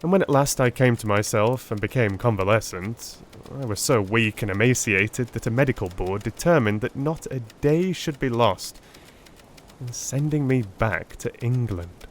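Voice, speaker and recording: A man playing a weary Victorian army surgeon recounting his memoirs in a measured, formal, theatrical audiobook reading. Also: mid-range voice, animated, a little hiss or hum, lightly acted. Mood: measured, grave. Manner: measured, formal, literary delivery. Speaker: audiobook narrator.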